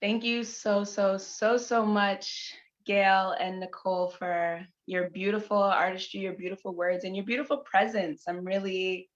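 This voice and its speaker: A young adult woman, a poet, softly thanking the other readers in a warm, unhurried way. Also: mid-range voice, steady tone. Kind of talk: casual thanks between readings. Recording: dull, muffled audio.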